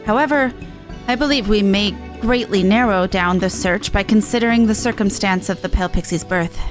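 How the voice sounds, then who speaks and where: A woman's voice: mid-range voice, normal speed, soft background music, natural voice. Learned fantasy scholar lecturing , played by a woman. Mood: scholarly, thoughtful. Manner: pedantic, formal, lecturing. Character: learned, unhurried magical scholar and master creature. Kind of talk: video game quest dialogue read aloud.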